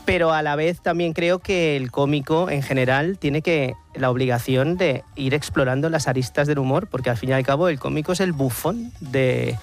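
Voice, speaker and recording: Higher-pitched man, reflective comedian. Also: faint music behind. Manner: reflective, halting, searches for words. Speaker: comedian, show guest. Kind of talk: discursive opinion in radio talk.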